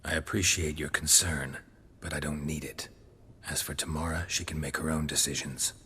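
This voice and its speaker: An actor with an American accent playing a stoic, gruff monster hunter, terse and deadpan, with a raspy voice, in a video game. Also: male voice, very deep voice, normal speed, big-room sound, a little hiss or hum. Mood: dry, wary. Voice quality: very gravelly, husky rasp.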